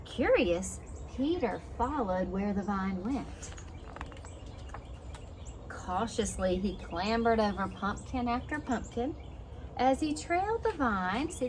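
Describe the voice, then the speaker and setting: Woman reading a storybook aloud, noisy recording. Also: on the high side. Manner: expressive, explanatory, prompts listeners with simple questions. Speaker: preschool teacher reading aloud. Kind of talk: storybook read-aloud for young children, with asides.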